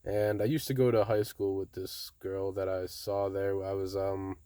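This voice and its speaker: A young man casually telling a story to his viewers in a monotone, laid-back way, often saying "bro". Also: deep voice, moderate pace, clean tone, no echo. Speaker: vlogger.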